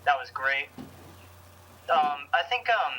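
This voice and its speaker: A man's voice: fairly high pitch, animated, slow pace, lo-fi sound, a little hiss or hum. An American man co-hosting a casual podcast in an agreeable, conversational way. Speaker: podcast co-host.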